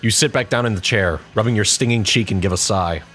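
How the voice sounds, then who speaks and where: A man with a fairly low voice narrates a scripted story. He reads as the storyteller, with music playing softly behind him. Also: fast. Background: background music.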